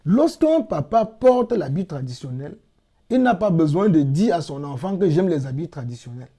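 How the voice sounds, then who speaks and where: A middle-aged man from Cameroon answering interview questions in a measured, explanatory way with emphatic repetitions. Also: a little high, big pitch swings, warm tone, slightly rough. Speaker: interviewed guest, language teacher.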